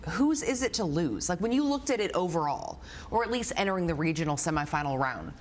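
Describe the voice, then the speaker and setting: A woman's voice: fairly low pitch, very animated, fairly quick, a little hiss or hum. A woman on a sports show panel, discussing games live in a conversational, emphatic way that repeats phrases for weight. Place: TV studio.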